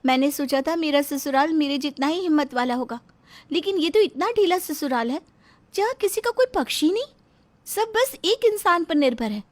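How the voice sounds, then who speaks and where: A woman's voice: high-pitched, animated, normal speed, pitched above the performer's own voice. A woman voicing a bold, outspoken young daughter-in-law who argues indignantly in a moral story. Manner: indignant, argumentative. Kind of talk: acted dialogue in a moral story.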